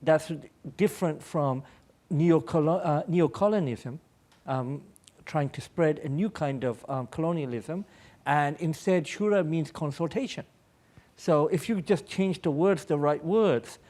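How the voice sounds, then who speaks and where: Mellow, higher-pitched man speaking on a panel. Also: unhurried. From born in Pakistan, American. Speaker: humanitarian aid worker, panel speaker. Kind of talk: public panel talk with personal anecdotes.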